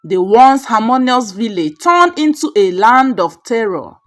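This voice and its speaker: Animated Nigerian woman storyteller, higher-pitched. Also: measured pace. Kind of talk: scripted folktale narration.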